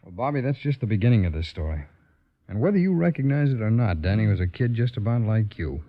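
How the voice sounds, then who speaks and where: An actor playing a calm, earnest FBI agent who narrates and acts in an old-time radio drama. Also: male voice, on the low side, quick pace, soft background music. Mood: storytelling. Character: calm, earnest adult male FBI agent telling his story. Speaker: narrator and lead character. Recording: thin, tinny old radio recording.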